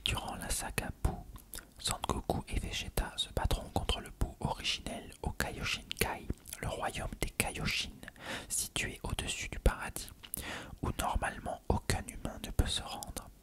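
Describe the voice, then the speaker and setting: An ASMR narrator reading encyclopedic text in a breathy, unvoiced whisper, measured and careful.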